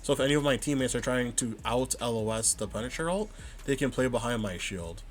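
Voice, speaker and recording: A man calmly breaking down his own match footage, thinking aloud and stopping to rewind and check his plays. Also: mid-range voice, a little fast, a little hiss or hum. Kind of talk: casual vod review commentary.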